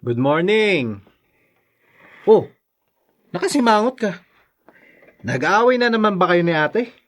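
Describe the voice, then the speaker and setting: An actor playing a sly, teasing younger brother in a radio drama, with a wheedling manner. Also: male voice, high-pitched, sing-song, takes it slowly, a bit bright, full character voice. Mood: breezy teasing.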